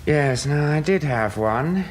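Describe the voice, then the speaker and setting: A scrupulous British shopkeeper in a radio drama. The voice is remarkably deep for a woman, with a deferential, unhurried manner. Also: animated, a little mellow, slight background noise. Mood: courteous. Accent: British English. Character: courteous, scrupulous antique shop keeper.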